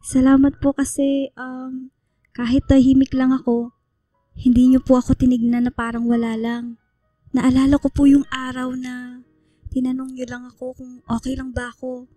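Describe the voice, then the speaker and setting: An actress playing a shy, polite young female student in a radio drama, soft-spoken and hesitant. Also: sits high, completely flat delivery, moderate pace, pauses often, dry recording. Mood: grateful, earnest. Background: music under the voice.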